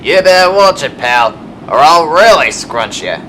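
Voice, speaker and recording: Man playing a slow, sneering bully racer. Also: fairly high pitch, very animated, a bit bright, a little hiss or hum, low-quality recording. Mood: sneering menace. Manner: taunting, menacing. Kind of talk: amateur audio drama acting.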